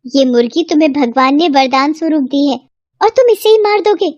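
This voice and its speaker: A woman's voice: high-pitched, lively intonation, brisk, a little mellow, no echo, lo-fi sound. A woman voicing a sensible, nagging village farmer's wife in a cartoon moral story. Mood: scolding.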